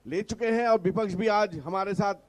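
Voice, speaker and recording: A man's voice: sits high, moderate pace. A man speaking as a ruling party spokesman in a political TV debate, combative and repeating his points. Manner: combative, repetitive, interjecting.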